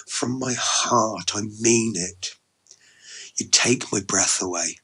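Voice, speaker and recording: A man voicing an earnest, tender, awestruck suitor with a soft, halting delivery in a narrated romance story. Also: medium pitch.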